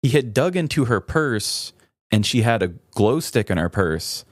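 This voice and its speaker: A man's voice: medium pitch, big pitch swings, normal speed, no room sound, clean studio sound. A man co-hosting a podcast, telling stories in a casual, rambling, self-deprecating way. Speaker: podcast co-host. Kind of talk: casual chat, telling anecdotes.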